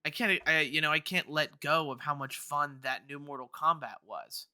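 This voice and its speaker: Bright, forceful man chatting on a podcast. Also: a little high, fairly even intonation, normal speed, smooth, no echo, clean studio sound. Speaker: podcast co-host. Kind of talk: casual debate chat.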